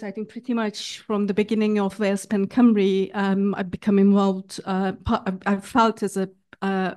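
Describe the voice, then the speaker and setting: A woman's voice: mid-range voice, a little mellow. A woman whose first language is Kurdish speaks at a live literary gathering. She introduces a musician in a mid-range voice, hesitating often and restarting her sentences. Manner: hesitant, with frequent fillers and restarts. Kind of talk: informal spoken introduction at a literary event. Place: live public event.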